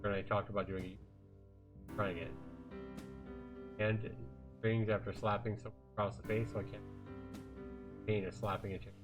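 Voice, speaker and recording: Male streamer narrating a visual novel, lower register, informal, self-correcting, music clearly underneath. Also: little pitch movement, moderate pace, pauses often. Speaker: livestreamer reading a visual novel aloud. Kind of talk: casual read-aloud narration of a story. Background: game music with guitar.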